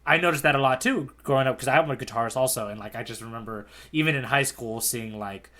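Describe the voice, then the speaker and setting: Male podcast co-host, bright tone, mid pitch, casual and slangy, thinks out loud. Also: fast. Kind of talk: casual conversational discussion.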